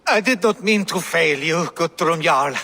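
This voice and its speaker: An actor playing a timid, exhausted young Viking soldier in a video game, halting and apologetic. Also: male voice, fairly high pitch, expressive, bright, forceful tone, full character voice. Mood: fearful, ashamed. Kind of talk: dramatic video game acting.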